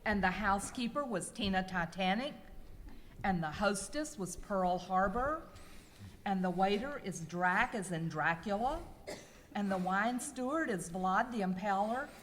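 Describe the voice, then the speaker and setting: A woman's voice: medium pitch, animated, unhurried, close to an everyday voice. A woman playing a worried, suspicious cruise passenger in an amateur horror comedy radio skit. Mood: dawning alarm. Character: worried, suspicious female cruise passenger piecing clues together. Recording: echoey hall, background noise.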